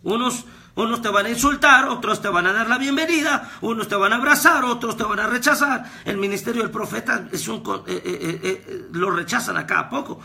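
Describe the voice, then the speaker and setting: A man, preaching a fervent devotional sermon as a pastor and prophet, with repetitions that rise to shouts. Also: high-pitched, brisk, vocal fry. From Guatemala. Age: 30s.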